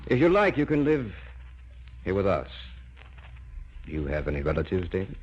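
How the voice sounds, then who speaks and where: A man's voice: sits low, sing-song, moderate pace, breathy edge, heavily acted. An actor playing an obsessed older scientist and father, commanding and zealous, in an old-time radio drama. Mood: brisk, commanding. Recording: muffled, noisy vintage radio recording.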